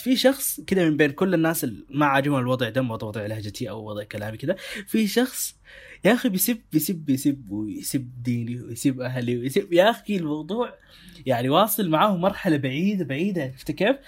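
A young man with a Sudanese Arabic accent, co-hosting a casual discussion and talking in an animated, emphatic way. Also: medium pitch, breathy edge. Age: young adult. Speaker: co-host.

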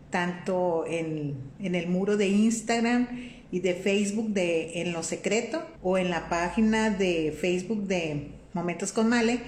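A Mexican woman hosting a faith-based live stream. She talks in a warm, conversational way, greets viewers by name and often checks in with "¿verdad?". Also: lowish voice. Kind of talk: casual live-stream talk on faith and life. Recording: roomy echo with faint room noise.

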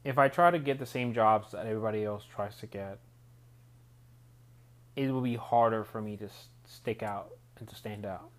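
Man, rambling, low-pitched. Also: moderate pace. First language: Spanish. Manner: rambling, restarts phrases often. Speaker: podcast host. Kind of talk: solo reflective monologue.

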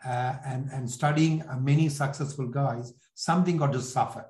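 A man in his sixties with a Sri Lankan accent, telling his life story in an interview in a halting, reflective way. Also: mid-range voice, fairly even intonation, darkish tone, roomy sound. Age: 60s. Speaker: podcast guest, entrepreneur.

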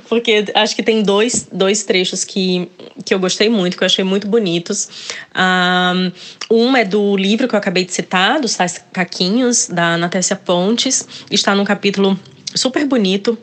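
A woman's voice: medium pitch, a little slow. Hesitant Northeastern Brazilian woman. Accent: Northeastern Brazilian Portuguese. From Ceará, Northeast Brazil. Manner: hesitant, many fillers and restarts. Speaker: guest. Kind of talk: casual interview, reading passages aloud.

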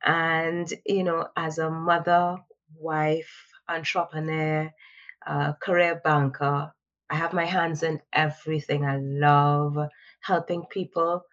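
Podcast guest: woman from Trinidad, lowish voice, chatty and reflective, dull lo-fi sound. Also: flat delivery, slow, fairly bright tone. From Trinidad and Tobago. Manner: reflective, chatty, frequent "you know" fillers. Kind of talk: conversational interview answers.

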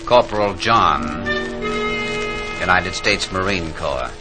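Deep, solemn old-time radio narrator. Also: male voice, lively intonation. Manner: measured, reverent, oratorical. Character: solemn narrator honoring the war dead. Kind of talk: solemn 1940s radio drama narration in blank verse. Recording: vintage 1940s radio, loud music behind.

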